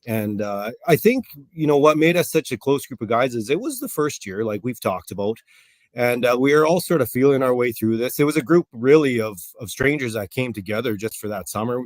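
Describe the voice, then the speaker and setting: A man in his forties, a coach as interview guest, talking in an upbeat, relaxed way with fillers. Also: medium pitch, a little fast, no echo. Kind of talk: casual interview.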